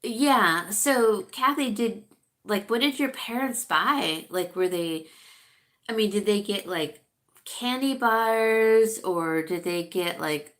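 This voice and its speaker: A woman's voice: mid-range voice, slow, a bit bright. A middle-aged woman from California hosting a casual livestream, chatty and rambling, a bit congested and sniffling. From California, USA. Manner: rambling, chatty, reads viewer comments aloud. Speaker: livestream host chatting with viewers.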